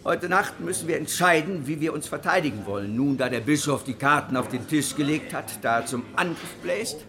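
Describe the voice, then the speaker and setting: An actor in a radio drama playing a grave leader of persecuted rebels, speaking in an earnest, declamatory way. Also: male voice, a little high, lively intonation, fairly quick, bright, forceful tone. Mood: grave, rallying.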